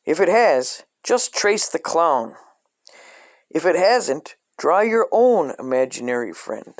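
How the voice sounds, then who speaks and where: Deadpan man reading aloud, lively pitch swings. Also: slow. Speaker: storyteller reading a parody children's coloring book. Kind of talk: scripted comedic read-aloud.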